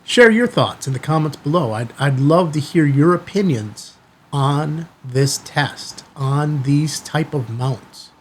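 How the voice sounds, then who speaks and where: Higher-pitched man vlogging over background noise. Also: takes it slowly. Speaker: YouTube channel host. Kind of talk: casual product review vlog.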